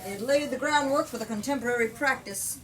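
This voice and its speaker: High-voiced woman reading a story aloud, distant. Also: fairly quick. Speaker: storyteller, book talk leader. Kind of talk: reading a novel aloud to listeners. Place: bookstore. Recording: distant mic, clear background noise.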